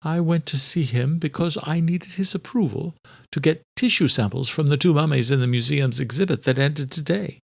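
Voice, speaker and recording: A man voicing an elderly scholarly doctor in an audiobook, courteous and direct, with formal, deliberate, old-fashioned phrasing. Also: a little high, vocal fry, dry recording, voice pushed up. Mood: troubled, direct. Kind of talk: audiobook character dialogue.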